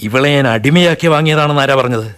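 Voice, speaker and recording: A man's voice: a little high, fast talker, fairly bright tone, clear, resonant tone, close to an everyday voice. A man playing a desperate husband in a radio drama, who pleads and sometimes rages. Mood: defiant. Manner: emotional, pleading, sometimes outraged. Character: desperate husband, middle-aged schoolmaster. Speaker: husband and narrator.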